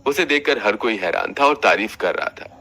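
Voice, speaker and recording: A man's voice: on the low side, lively intonation, moderate pace, sharp tone. A man reading scripted narration for a cartoon family story.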